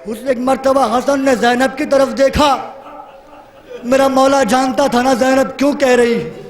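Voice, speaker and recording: A man's voice: very high-pitched, fairly even intonation. A man delivering an emotional mourning sermon, telling a sacred story in an impassioned, lamenting and dramatic way. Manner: impassioned, lamenting, acting out dialogue within narration. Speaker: religious orator leading a mourning gathering.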